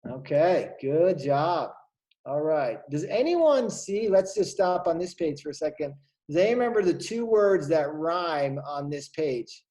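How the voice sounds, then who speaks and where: A man teaching kindergarten, reading a storybook aloud to young children and asking them questions in an animated, encouraging way. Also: fairly high pitch, unhurried.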